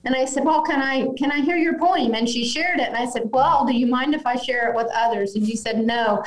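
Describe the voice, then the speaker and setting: A woman in her fifties, a physician speaking as a podcast guest over a video call, measured and gentle in her explanations. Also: sits high. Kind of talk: remote podcast interview. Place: office at home, indoors. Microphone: video call webcam. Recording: echoey room on video call.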